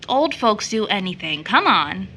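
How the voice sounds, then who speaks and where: A bright, clear-edged young woman in an audio drama. She is motherly and playful, with an expressive, tender way of storytelling at a middle pitch. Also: a little slow, heavily acted. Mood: playful, teasing.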